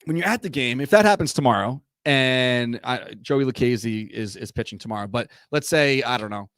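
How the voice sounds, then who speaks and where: A man's voice: mid-range voice, moderate pace, fairly bright tone, clear, resonant tone, dry recording. Host, male, casual rambling chat, voice worn from a cough, dry studio sound. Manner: rambling, laid-back, many fillers. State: recovering from a cough. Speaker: podcast host. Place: podcast studio.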